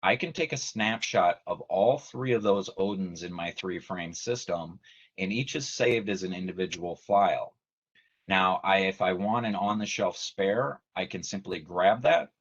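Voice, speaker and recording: A man giving a measured, methodical technical explanation as a trainer in an online webinar. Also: low voice, a bit bright. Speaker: technical trainer, company presenter. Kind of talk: explanatory webinar presentation, semi-scripted. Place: online video meeting. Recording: dull lo-fi video call audio.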